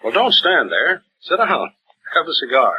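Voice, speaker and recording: Lively, direct baseball official, old radio. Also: male voice, a little high, normal speed, exaggerated voice. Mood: genial. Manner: plain-spoken, direct, clipped. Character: steady, probing baseball league troubleshooter, concerned male friend. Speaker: radio actor. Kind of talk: old-time radio drama acting. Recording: dull, noisy old radio recording.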